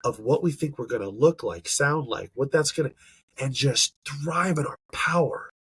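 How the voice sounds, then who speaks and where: A man's voice: medium pitch, moderate pace, a bit bright, husky, no room sound. A man on a podcast, talking earnestly and emphatically with a stuffy nose from allergies, often searching for words. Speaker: podcast co-host. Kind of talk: casual podcast conversation.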